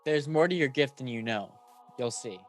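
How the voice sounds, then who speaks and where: A man playing a calm, authoritative messenger angel in a radio play, speaking in a solemn, measured way. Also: mid-range voice, over loud music, close to an everyday voice. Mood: calm, steadfast.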